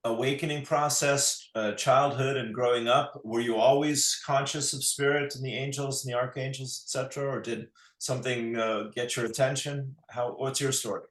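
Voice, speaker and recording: A man's voice: mid-range voice, fairly even intonation, moderate pace, few pauses, roomy sound. A man hosting a video-call interview, often affirming his guest with short replies before asking questions. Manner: warm affirming backchannels. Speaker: host.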